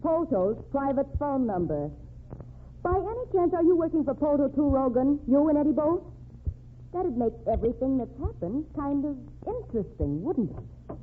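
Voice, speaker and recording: Tough, desperate American sweetheart of a condemned man, high, swooping delivery, tinny vintage radio crime play. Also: big pitch swings, normal speed. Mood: suspicious. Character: desperate, determined woman defending her condemned sweetheart. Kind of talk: old-time American radio drama acting. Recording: thin, band-limited, noisy old radio recording.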